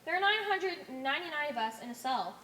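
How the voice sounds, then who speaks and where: A woman's voice: high-pitched, expressive, fast talker, bright, forceful tone, roomy sound, slight background noise. A woman playing an earnest, deferential alien in an amateur stage play, explaining things in a careful, theatrical way. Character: earnest, deferential female alien, member of a cell.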